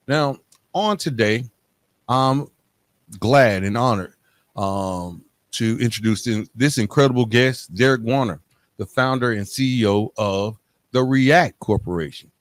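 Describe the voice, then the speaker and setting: A man's voice: on the low side, dry recording. Male podcast host, dry close sound. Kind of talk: scripted intro and casual interview.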